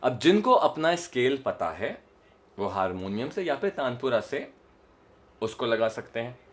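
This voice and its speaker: A man's voice: mid-range voice, very animated, a little slow. A man with an Indian accent explains like a voice coach, stressing points and often checking that the listener follows. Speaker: voice modulation coach. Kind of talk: instructional explanation to camera with demonstrations.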